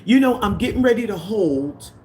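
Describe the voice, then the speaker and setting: A woman with a deep voice hosts a casual livestream. She greets viewers by name, reads their comments aloud, and drifts into preachy asides. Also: animated, normal speed, mellow, a little hiss or hum. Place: living in the Caribbean.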